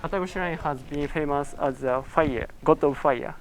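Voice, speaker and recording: A man's voice: on the high side, moderate pace. A man who speaks Japanese natively, hosting and guiding a walking tour outdoors, explaining sights in simple English. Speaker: tour guide and channel host. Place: outdoors on busy town streets. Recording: outdoor street noise.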